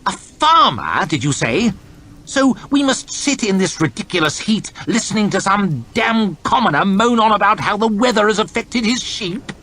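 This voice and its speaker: A man's voice: high-pitched, lively intonation, moderate pace, bright, forceful tone, voice pushed up, exaggerated voice. A man doing a pompous, bellowing lord chamberlain. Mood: haughty. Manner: arrogant, bellowing and contemptuous. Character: pompous, irritable middle-aged male lord chamberlain.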